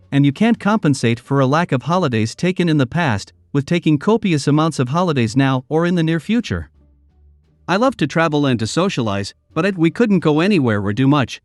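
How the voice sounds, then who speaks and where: A man narrates read-aloud stories in a steady, even manner. His voice sits a bit high for a man. The recording is close and dry, with soft music playing underneath. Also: no echo. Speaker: narrator reading online posts.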